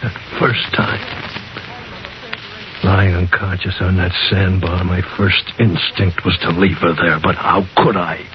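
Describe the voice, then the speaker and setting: A wounded, haunted American man in a 1950s radio horror play. He speaks slowly and haltingly, overwrought and desperate with fever. The old recording is muffled, with a faint hiss. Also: low-pitched, little pitch movement. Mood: haunted, brooding. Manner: halting, overwrought, with bitter laughter. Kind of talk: 1950s radio drama acting.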